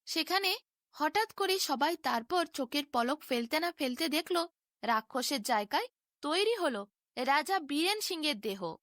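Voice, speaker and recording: A woman tells a scripted fairy tale for bedtime with dramatic delivery. Her voice is high and swings widely in pitch as she narrates. The sound is very dry, with hardly any room in it. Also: lively intonation, unhurried, a bit bright, no room sound. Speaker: storyteller.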